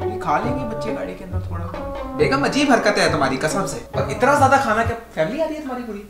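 A man's voice: sits high, sing-song, fast talker, reverberant. A man acting out a stubborn middle-aged father, blustering and threatening. He scolds his family like he knows everything. Music plays underneath. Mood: scolding. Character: bossy middle-aged male immigrant dad, stubborn know-it-all. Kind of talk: comedy sketch.

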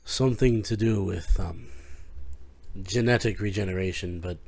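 A man's voice: low-pitched, moderate pace, darkish tone. A man playing a shy, awkward lab scientist in a comic dub, hesitant and stammering. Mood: shy, nervous. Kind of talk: comic book dub acting.

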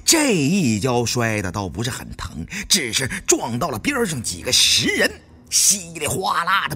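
Male narrator, dramatic novel reading, highly animated pitch, faint music underneath. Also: husky. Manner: expressive storytelling. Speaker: audiobook narrator.

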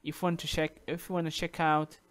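A man whose first language is Portuguese, talking through a coding tutorial over a screen recording, halting with restarts and checking in with "okay?". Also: fairly high pitch, level tone, a bit bright. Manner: halting, many restarts and "uh", tags sentences with "okay?". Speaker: programming tutor.

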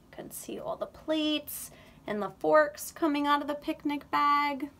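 A woman in her thirties reading a children's picture book aloud, slow and expressive, recorded on a webcam in a small room. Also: sits high, gravelly, a little hiss or hum. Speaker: read-aloud reader. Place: indoor room, under a sloped ceiling.

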